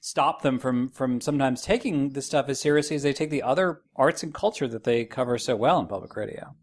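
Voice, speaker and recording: Male interview guest, medium pitch, casual conversational delivery. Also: brisk. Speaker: podcast guest, radio producer.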